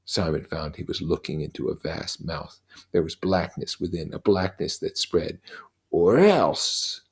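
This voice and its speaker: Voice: a bass voice, fairly even intonation, moderate pace, vocal fry, slightly rough. A narrator reading a novel aloud expressively and adding explanatory comments.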